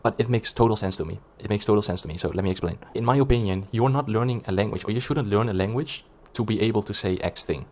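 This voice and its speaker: A man speaks English quickly in a fairly low voice. He explains his view step by step from a script, with an earnest, reasoning tone. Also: a little mellow. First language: Dutch. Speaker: language-learning YouTuber.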